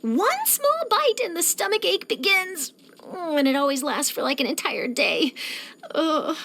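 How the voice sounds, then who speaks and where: Eager high-pitched young girl reporter. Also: measured pace, vocal fry, full character voice. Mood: chatty, playful. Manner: animated, chatty, expressive, theatrical delivery. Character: young female newspaper reporter, eager, curious, nosy, cheerful. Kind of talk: scripted video game character voice lines.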